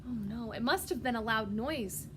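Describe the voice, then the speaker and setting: A woman reading a storybook to young children in an expressive, animated way, asking them simple questions as she goes. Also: a little high, normal speed, background noise. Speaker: preschool teacher. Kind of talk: expressive children's read-aloud with questions to listeners.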